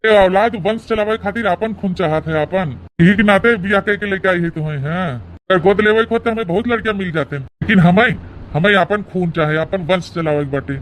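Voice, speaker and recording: A man's voice: high-pitched, brisk, slight background noise, close to an everyday voice. A man voicing a smug, callous old husband in a comic cartoon, blunt and boastful, with an Awadhi accent. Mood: stern, boastful. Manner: blunt, boastful, emphatic repetition. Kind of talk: comic cartoon family drama.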